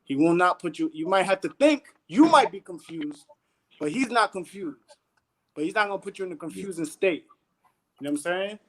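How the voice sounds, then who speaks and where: An animated man guests on a casual panel, preaching his views in street slang and checking in with "you know what I'm saying" over and over. His voice rides unusually high for a man. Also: a little fast, a little hiss or hum.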